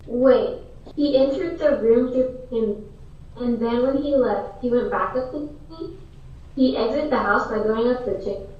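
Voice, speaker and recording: A woman's voice: fairly high pitch, normal speed, close to an everyday voice. A woman playing an earnest on-the-scene radio news reporter in a mock news play. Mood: incredulous. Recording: very echoey, distant, muffled.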